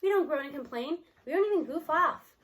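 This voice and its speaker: A woman reading a children's novel aloud in an expressive storybook style, acting out the dialogue. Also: sits high, a little fast. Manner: animated, acts out the characters' dialogue.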